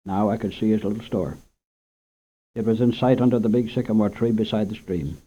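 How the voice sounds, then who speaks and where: A man reading a short story aloud in an expressive, unhurried way as the storyteller of a children's radio program. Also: lowish voice, slightly rough. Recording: muffled old radio recording.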